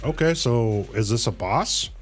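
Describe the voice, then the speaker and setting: A man's voice: mid-range voice, lively intonation, a little slow, over music. A man livestreaming a game, speaking casually as he reacts to the play. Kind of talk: casual live gameplay reaction.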